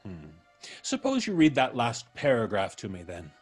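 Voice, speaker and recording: An actor playing a mysterious, suave intruder in an old time radio mystery, polite, deliberate and mocking, with a slightly husky voice. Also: male voice, medium pitch, wildly swooping pitch, faint music behind. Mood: quietly menacing. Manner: polite, deliberate, teasing, drawn-out delivery.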